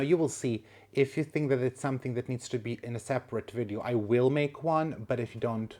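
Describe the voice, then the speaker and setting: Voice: medium pitch, a little mellow. Casual home vlog, chatty, self-deprecating, coos at plants, indoors. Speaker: plant vlogger.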